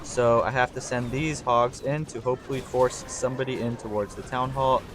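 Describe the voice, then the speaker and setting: Young male gameplay commentator, slightly hoarse, mid-pitched voice, thinks out loud, faint noise behind. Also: normal speed. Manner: talks through his thinking, excitable on big plays. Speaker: gaming channel host.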